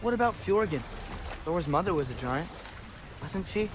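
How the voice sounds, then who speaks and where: A man's voice: sits high, animated, normal speed, background noise. A young man playing a curious, persistent teenage boy in a video game. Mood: eager, persistent. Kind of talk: video game dramatic acting.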